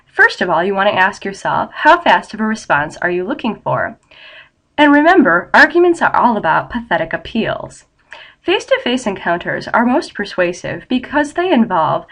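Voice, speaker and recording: A woman's voice: medium pitch, a bit bright. Stuffy-nosed woman lecturing with a cold. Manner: explanatory, with frequent ums. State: head cold, nasal and scratchy. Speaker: college English instructor. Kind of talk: online lecture.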